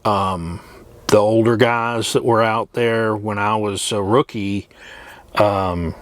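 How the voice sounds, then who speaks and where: Low-voiced middle-aged man chatting casually. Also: fairly even intonation. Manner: plain-spoken, hesitant, trailing pauses. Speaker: truck driver and vlogger. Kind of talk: casual rambling vlog monologue. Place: truck cab.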